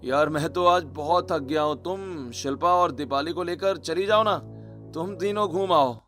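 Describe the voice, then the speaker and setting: A man's voice: a little high, moderate pace, a bit bright, soft background music, natural voice. A man voicing a tired, easygoing young husband in a cartoon story, talking casually.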